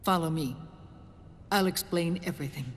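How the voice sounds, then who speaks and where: An actress playing an older woman who leads a resistance group, speaking in a soft, measured, deliberate way in a game cutscene. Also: fairly low pitch, slight background noise, close to an everyday voice. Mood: solemn. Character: older woman, calm mysterious rebel leader. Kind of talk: video game cutscene acting. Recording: reverberant game cutscene with music.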